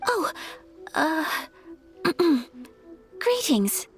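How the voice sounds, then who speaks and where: A young game noblewoman, high in pitch and formally polite. The speech is gentle and modest yet melodically varied, with faint music behind. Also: very animated, extremely slow, heavily acted. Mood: modest, flustered. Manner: formal, gracious, gentle, self-effacing. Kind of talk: video game voice acting.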